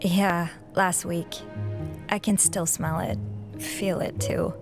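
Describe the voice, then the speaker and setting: A woman's voice: fairly low pitch, fairly even intonation, takes it slowly, over music. A woman playing an earnest, dry-witted young hotel maid in a video game cutscene. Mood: dry, polite.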